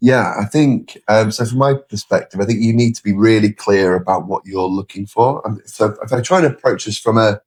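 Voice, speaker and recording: British guy, middle aged, lowish voice, explaining stuff calmly in an interview. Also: a little fast, few pauses, slightly rough. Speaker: interview guest, sales leader. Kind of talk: conversational interview.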